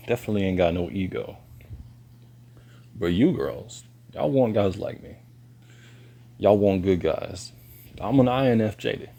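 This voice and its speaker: A man, lecturing bluntly in a halting monologue to camera. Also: dark tone. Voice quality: deep voice. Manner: slow and halting, pauses and restarts. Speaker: vlogger.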